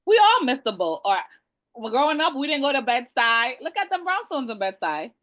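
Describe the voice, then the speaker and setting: Blunt, animated Jamaican woman realtor. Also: high-pitched, normal speed, no echo, clean studio sound. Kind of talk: webinar panel talk. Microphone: video call.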